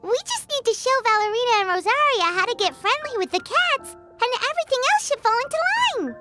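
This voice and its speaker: A woman voicing a tiny, excitable childlike fairy companion in a game, with a squeaky voice and chirpy, expressive manner. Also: brisk. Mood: confident. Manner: chirpy, expressive, speaks of herself in third person. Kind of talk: English game dub voice acting. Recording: loud background music.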